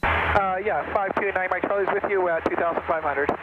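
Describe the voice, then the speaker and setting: A man's voice: sits high, a little fast. Male pilot on muffled, noisy aircraft radio. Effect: aviation radio. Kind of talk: aviation radio calls. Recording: muffled radio with loud engine noise.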